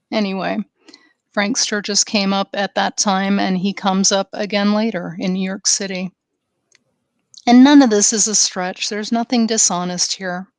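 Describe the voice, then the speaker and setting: A woman co-hosting a casual podcast, speaking earnestly and haltingly with frequent ums. Her voice is smooth and clear but fairly flat in pitch. The sound is dry and close to the mic. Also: no echo. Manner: many fillers, halting, earnest. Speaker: podcast co-host.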